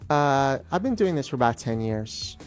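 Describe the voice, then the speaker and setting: A middle-aged man with a mid-pitched voice talks as a legal guest on a radio show. He explains procedure emphatically, checking that the listener follows. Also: sing-song, normal speed, faint music behind.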